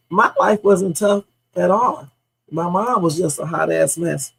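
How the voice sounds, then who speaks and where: A woman in her fifties talks off the cuff on a livestream with a gravelly edge and a husky, rough texture. She is blunt and salty and drifts from story to story before landing on advice. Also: on the low side, lively intonation, slow pace. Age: 50s. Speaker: livestream host. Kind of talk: rambling casual livestream chat.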